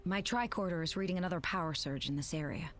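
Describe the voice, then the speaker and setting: A woman playing a determined, clever teenage alien girl cadet in an animated game. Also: fairly low pitch, quick pace, over music, natural voice. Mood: focused.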